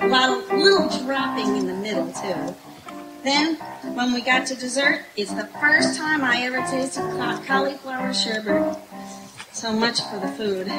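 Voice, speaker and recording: A woman playing a flustered, long-suffering young secretary in an old-time radio comedy, performed live outdoors. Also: high voice. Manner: flustered, hesitant, exclaiming. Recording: echoey live outdoor stage sound.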